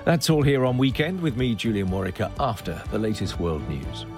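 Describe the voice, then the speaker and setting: A man hosting a radio news programme, introducing guests in a measured, formal broadcast manner from a studio. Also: lowish voice, big pitch swings, over loud music. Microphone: studio microphone.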